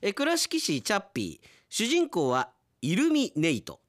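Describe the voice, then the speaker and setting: Male radio host, very animated and swooping in pitch, chatty and wry, casual commentary on listener mail. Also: a little slow. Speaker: radio announcer hosting a radio drama segment.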